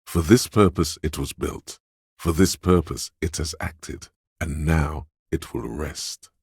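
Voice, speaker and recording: Brooding, wry inner-monologue game narrator; rumbling bass, heavy gravel, deliberate phrasing, dry close sound. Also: male voice, no echo, exaggerated voice. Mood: solemn. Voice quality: gravelly, husky, very deep. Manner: measured, deliberate, literary second-person narration.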